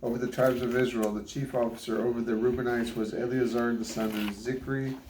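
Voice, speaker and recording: A man's voice: medium pitch, little pitch movement, brisk. A man reading the Bible aloud as a father, stumbling over difficult names and retrying them. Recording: roomy echo, faint background noise.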